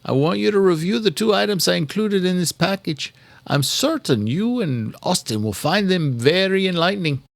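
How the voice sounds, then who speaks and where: High, theatrical, gloating killer. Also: male voice, expressive, gravelly, dry recording. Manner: drawn-out, theatrical, raised-pitch delivery. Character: gloating, lovesick male serial killer, theatrically menacing. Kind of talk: audiobook villain reading a letter.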